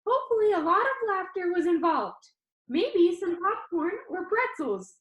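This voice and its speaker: A woman's voice: very high-pitched, very animated, measured pace, dull audio, pitched above the performer's own voice, heavily acted. A woman voicing a cheerful, jokey yet sincere teenage boy video-maker in a novel read aloud. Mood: upbeat. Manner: exuberant, playful, earnest. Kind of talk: children's novel read-aloud, character dialogue. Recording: echoey room.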